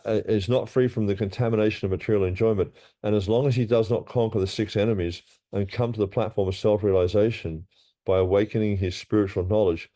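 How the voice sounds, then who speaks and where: A man's voice: fairly low pitch, warm tone. A man giving a scripture class, reading verses aloud and explaining them with frequent restarts and repeated words.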